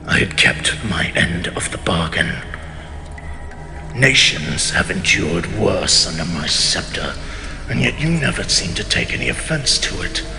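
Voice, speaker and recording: A man playing a proud, betrayed immortal mage king in a fantasy audio drama, with theatrical, measured, imperious speech and a menacing, bitter temper. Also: deep voice, gravelly, exaggerated voice. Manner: theatrical, measured, imperious, often dropping to a whisper. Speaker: voice actor. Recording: long cavernous reverb, music underneath.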